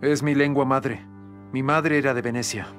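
A man plays a young court interpreter who chooses his words with care. His voice is mid-pitched and courteous. Faint music runs behind him. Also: fairly even intonation, normal speed, close to an everyday voice. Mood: diplomatic. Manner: polite, careful diplomatic phrasing. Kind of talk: Spanish dub of a historical series.

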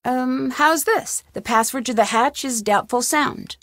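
A young woman detective for a video game, her voice on the high side and recorded dry. She is inquisitive and level-headed, putting questions in an earnest, probing way. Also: measured pace, fairly bright tone, no room sound. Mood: curious, friendly. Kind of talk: video game voice acting, isolated dialogue lines.

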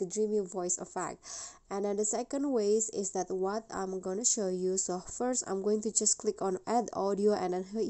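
A woman with a very soft-edged, mellow voice at a medium pitch hosts a casual how-to voice-over. She explains things step by step, with a slightly hesitant, halting delivery. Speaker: tutorial host.

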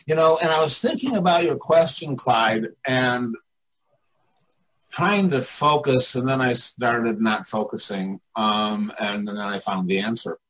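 An older man, a coach and mentor, talking casually and reflectively in a candid way with pauses. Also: medium pitch, a bit bright, poor audio.

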